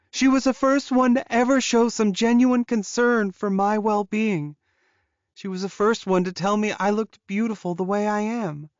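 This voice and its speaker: A man performs a young werewolf woman in a fantasy audiobook, pitched well above an ordinary narrating voice. She speaks with raw, pleading earnestness about a painful past. The sound is dry and rather dull. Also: clean tone, dry recording. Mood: tearful, thankful. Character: tearful young female werewolf confessing her painful past.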